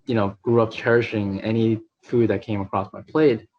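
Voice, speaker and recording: A man with a fairly low voice talks from his apartment, stopping and restarting often as he works through his thoughts. The recording is muffled and lo-fi, with a faint hiss of noise behind him. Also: fairly even intonation, moderate pace. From New York. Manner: hesitant, many fillers and restarts. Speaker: nonprofit CEO. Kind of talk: video call interview.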